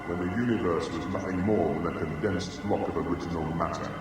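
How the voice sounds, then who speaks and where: A man's voice: low voice, fairly even intonation, fairly quick, close to an everyday voice. An actor playing a grave, stern and lordly alien elder statesman who makes solemn pronouncements in a radio science fiction drama. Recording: cavernous reverb with music behind.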